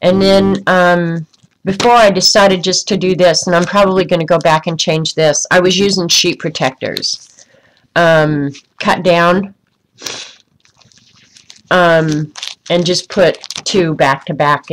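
A woman's voice: on the low side, slight background noise, rough recording. A woman with a stuffy, congested voice chatting casually to viewers on a live craft stream, rambling with many ums. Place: indoors at a craft desk.

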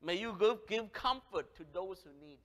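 A man in his thirties with a Cambodian accent, preaching a lively sermon with big swings from loud calls to soft words. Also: high voice, normal speed. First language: Khmer. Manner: animated, gesturing, swings from loud exclamation to soft. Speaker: pastor. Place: church sanctuary, large, indoors. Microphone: headset. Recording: long hall reverb.

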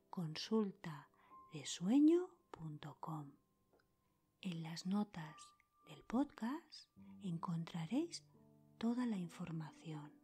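Slow, whispering woman, soft and soothing. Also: on the low side. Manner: calm, soothing, unhurried with pauses between short phrases. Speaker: host of a sleep meditation podcast. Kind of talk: whispered guided meditation, scripted narration. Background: music.

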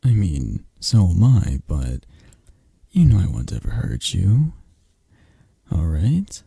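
A man acts out a controlling yet protective mafia boss boyfriend for an ASMR romance roleplay. His voice is low and husky, and he takes his time over each phrase. He coaxes and commands softly, close to the listener. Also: huge pitch swings, dry recording, heavily acted. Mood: possessive, firm. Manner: unhurried, commanding, coaxing, close and soft.

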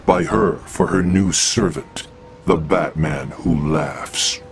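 Low, creaky solemn cosmic being. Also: male voice, slow pace, a little hiss or hum, heavily acted. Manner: grave, formal. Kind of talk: superhero video game acting.

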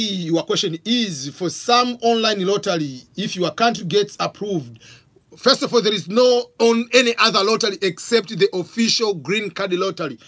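A man in his forties from Tanzania with a Tanzanian accent, hosting a live question-and-answer stream from a home office on a studio mic, reading out viewer questions. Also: high-pitched. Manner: animated, gestures a lot, reads out questions. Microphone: studio mic on stand with pop filter.

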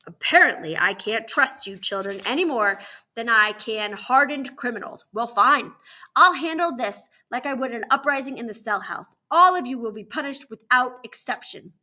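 An indignant male prison warden from a storybook, played by a woman, scolds with hard emphasis. The voice is bright and forceful, pitched fairly high for the part, and full of stern authority. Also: animated, moderate pace, full character voice. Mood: cold anger.

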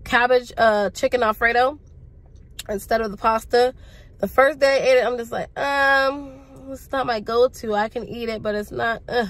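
A woman's voice: fairly high pitch, fairly even intonation, normal speed, a bit bright. A young woman talks into a phone held at arm's length inside her parked car. Her voice is congested from a cold. She rambles in a chatty, casual way, full of slang. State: sick, congested voice. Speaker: vlogger. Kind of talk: casual chat to camera. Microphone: phone camera at arm's length.

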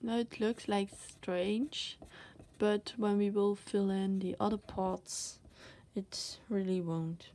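A woman with a Dutch accent, a native Dutch speaker, giving a casual drawing tutorial as she works, soft-spoken and hesitant, searching for words between many fillers. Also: medium pitch, steady tone, slow, a little hiss or hum. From Netherlands. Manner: soft-spoken, often drops to a whisper. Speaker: art tutor. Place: desk, indoors.

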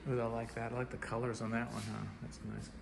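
A man giving low-key, casual commentary as an artist walking through an art gallery, often trailing into murmured asides. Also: little pitch movement, quick pace, vocal fry, background noise. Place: large indoor art gallery with hard floors.

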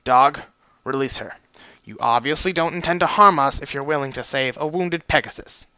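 A man voicing a gruff, commanding older unicorn stallion who scolds sternly, on a dull, lo-fi recording. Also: fairly high pitch, moderate pace, sharp tone, no room sound.

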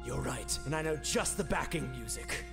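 A man voicing a sardonic hedgehog antihero in an improvised comedy game dub, dry remarks breaking into excited outbursts. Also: medium pitch, moderate pace, creaky, over music. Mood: wry, deadpan.

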